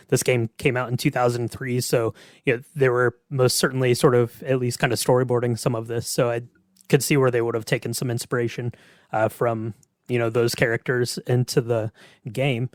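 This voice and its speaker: A male podcast host talks in a casual, conversational way. His pitch sits in the middle for a man, and the sound is dry with little room on it. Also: level tone, fairly quick, no echo. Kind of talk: casual discussion.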